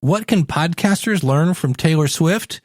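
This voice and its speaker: Slightly high-voiced man hosting a podcast. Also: lively intonation, measured pace, no echo, clean studio sound. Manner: conversational, addresses the listener directly. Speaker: podcast host and podcasting coach. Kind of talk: podcast sign-off and promo.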